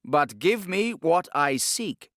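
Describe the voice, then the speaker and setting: A man voicing a smooth, unbothered, menacing Spanish Grand Inquisitor in an audiobook, speaking slowly and deliberately with a commanding manner. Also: fairly high pitch, very animated, a bit bright, dry recording, clean studio sound, voice pushed up, exaggerated voice. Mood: smooth menace.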